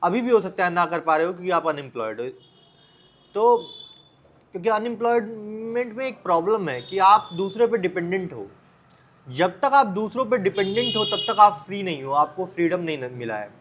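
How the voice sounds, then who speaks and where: An Indian man with a notably high-pitched voice gives informal advice as a vlogger. He speaks bluntly and directly to the listener, often repeating phrases and posing rhetorical questions. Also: expressive, moderate pace, fairly bright tone. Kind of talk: informal motivational advice talk to the viewer.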